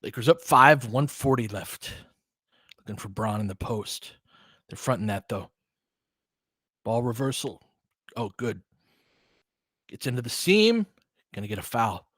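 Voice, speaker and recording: A man doing casual live basketball play-by-play as a fan analyst, in clipped phrases, swinging between muttered asides and excited calls. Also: mid-range voice, unhurried, long pauses, husky, no room sound, clean studio sound.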